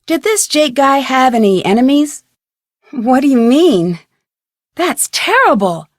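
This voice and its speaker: A woman's voice: sits high, big pitch swings, unhurried, no room sound, clean studio sound. A woman playing an inquisitive, polite teenage girl detective in an adventure game, with earnest scripted delivery. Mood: concerned.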